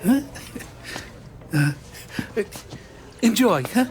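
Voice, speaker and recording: A man's voice: high voice, expressive, drawn-out words, full character voice. A man playing a jovial, effusive Italian restaurateur with an Italian accent, eager to please, in a video game. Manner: effusive, obsequious. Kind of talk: cinematic video game acting. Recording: music behind the voice.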